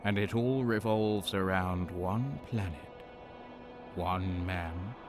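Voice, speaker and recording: A man's voice: low-pitched, takes it slowly, over music. A man playing a solemn, all-knowing transcendent being, narrating an audio drama in a grave, portentous, scornful way. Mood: grave, foreboding.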